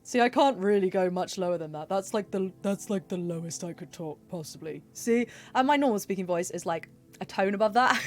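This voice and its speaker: A woman casually chatting and laughing while playing a game on stream, in a relaxed, playful way. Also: mid-range voice. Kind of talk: casual gameplay commentary.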